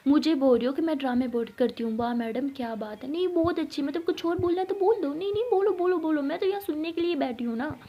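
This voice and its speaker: A woman's voice: a little high, fast, hardly any pauses, dull audio, natural voice. A woman voicing a sulky, self-pitying teenage girl who complains in a whiny, melodramatic way.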